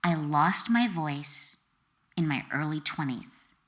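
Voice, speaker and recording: A woman author reading her own memoir as an expressive audiobook narrator, with a dramatic, deliberate delivery and emphatic pauses. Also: sits low.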